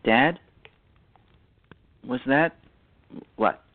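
A man's voice: medium pitch, animated, drawn-out words, phone-quality sound. Man playing a polite, hesitant thirteen-year-old boy. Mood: tentative. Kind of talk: amateur radio drama acting.

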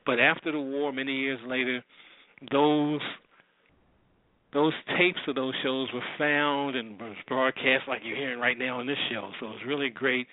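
A man's voice: medium pitch, fairly even intonation, moderate pace. An American man hosting a radio show, introducing old programs in a casual, rambling, conversational way. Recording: roomy, phone-like narrow sound.

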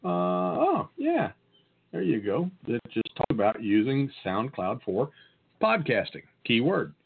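The audio is narrow and phone-like. A man with a Southern US accent talks in a casual, conversational way as a podcast co-host. His pitch sits in an ordinary male middle range. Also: huge pitch swings, measured pace, gravelly, slight background noise. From Southern United States. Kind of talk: casual tech tips chat.

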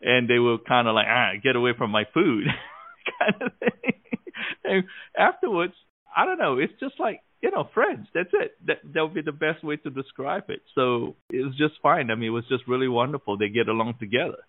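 A man from Malaysia hosting a conversational interview, enthusiastic and quick to laugh. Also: medium pitch, very animated, no room sound. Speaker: host. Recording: dry tinny phone-like line.

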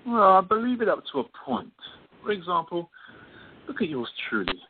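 Animated, wry playwright played by a man, tinny sound. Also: sits high, moderate pace. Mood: self-mocking. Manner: playful, self-mocking, theatrical. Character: teasing, wry, lovestruck male playwright friend. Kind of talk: radio play acting. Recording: tinny phone-like sound with faint noise.

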